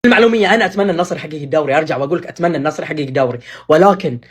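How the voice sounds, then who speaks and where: A man gives football analysis in a reasoned, explanatory way. His voice is husky and sits high. He talks very fast. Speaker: football commentator. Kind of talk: match analysis.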